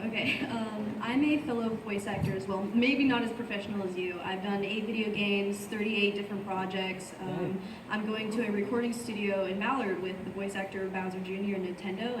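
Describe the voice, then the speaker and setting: A woman from the audience hesitantly asking an informal question at a convention panel. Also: medium pitch. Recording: distant, echoey hall, clear noise.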